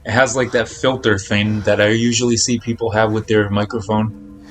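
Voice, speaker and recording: A man's voice: on the low side, flat delivery, moderate pace, a bit bright, faint music behind. A man chatting casually on a live game stream, speaking in a blunt, admonishing, repetitive way. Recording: noticeable background noise.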